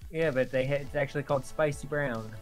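A man's voice: medium pitch, fast talker, lightly acted. A man playing an easygoing, joking father in an improvised video game family roleplay. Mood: casual, joking. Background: music.

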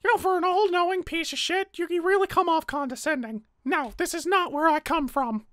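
A woman's voice: extremely high pitch, a little fast, fairly bright tone, dry recording, clean studio sound. A woman voicing a small, bitter, foul-mouthed talking flower, numb and angry, in a fan roleplay drama. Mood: weary, bitter. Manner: sarcastic, cursing, stammering when cornered.

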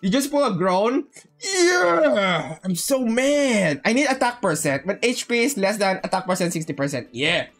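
A game streamer giving casual, excitable live commentary, often repeating short phrases. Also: medium pitch, normal speed, faint music behind. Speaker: livestreamer playing a game.

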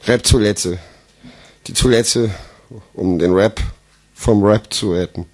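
A man's voice: on the low side, takes it slowly, a little mellow, slightly rough. A man performing comic slam poetry live on stage into a microphone, with a theatrical, emphatic delivery.

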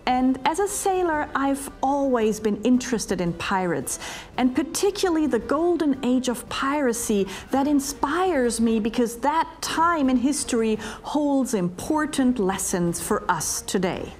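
A middle-aged woman, a keynote speaker and leadership coach, talking to camera in a scripted promotional monologue with emphatic, deliberate delivery and dramatic pauses, recorded in a studio on a lapel mic. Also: high-pitched, expressive, darkish tone. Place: studio before a green screen, edited onto a virtual ship deck. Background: faint music bed.

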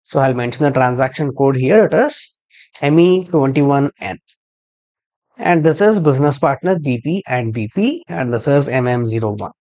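Man narrating a software tutorial. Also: mid-range voice, lively intonation, normal speed. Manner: step-by-step explaining, spelling out codes. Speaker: software trainer. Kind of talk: screen-share tutorial narration.

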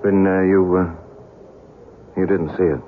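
An actor playing an agitated, skeptical young journalist in a 1970s radio drama, halting and exasperated. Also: male voice, low-pitched, flat delivery. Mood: startled, dejected. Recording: muffled, noisy vintage radio broadcast.

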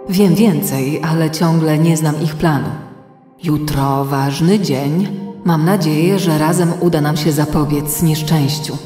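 A caring, worried female mentor with a very low voice. She speaks in long, echoing reverb with music behind her, as in a children's radio play. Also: dark tone. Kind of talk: children's audio drama acting.

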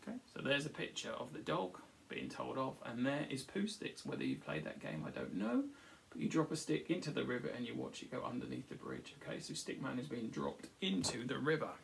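A man in his thirties or forties reading a picture book aloud to children, pausing to explain it simply. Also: mid-range voice, very animated, creaky, slight background noise. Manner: frequently checks with "okay", explanatory. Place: small furnished room at home. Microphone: device camera at about arm's length.

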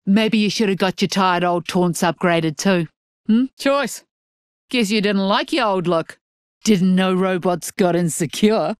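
A woman's voice: mid-range voice, measured pace, smooth, dry recording, studio quality, close to an everyday voice. An actress playing a brash, mocking middle-aged female rebel fighter in a video game. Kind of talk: video game character voice lines.

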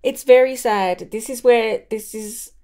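A woman's voice: a little high, a little slow, dark tone. A woman, co-hosting a podcast in a casual book discussion. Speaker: podcast co-host.

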